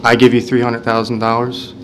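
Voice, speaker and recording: A man's voice: mid-range voice, monotone, brisk, background noise. A man acting as an investor judge, asking direct, probing questions at a live event.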